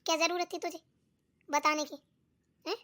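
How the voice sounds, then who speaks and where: Woman playing a bossy, high-voiced nagging old mother-in-law. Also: level tone, normal speed. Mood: scolding, exasperated. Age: older. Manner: nagging, sharp-tongued, peppered with challenging tag questions. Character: scolding, bossy, suspicious elderly mother-in-law. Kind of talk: Hindi family cartoon acting.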